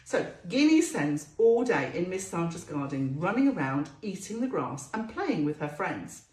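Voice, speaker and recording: A woman presenting storytime for small children, telling a story in a sing-song way with drawn-out emphasis and questions to the listener. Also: on the low side, big pitch swings, darkish tone, roomy sound, poor audio.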